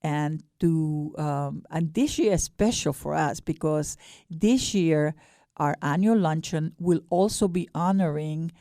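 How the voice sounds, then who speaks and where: A mature woman with an Ecuadorian Spanish accent, speaking earnestly and haltingly as a guest in a radio interview. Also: low voice, warm tone, dry recording, clean studio sound. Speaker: guest, board director of a peace nonprofit.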